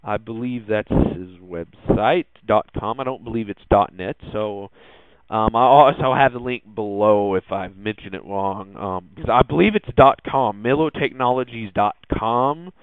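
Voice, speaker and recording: A man hosting a casual tech podcast in a hesitant, halting way, with frequent ums and false starts, on muffled lo-fi audio. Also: lowish voice, dry recording. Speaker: podcast host.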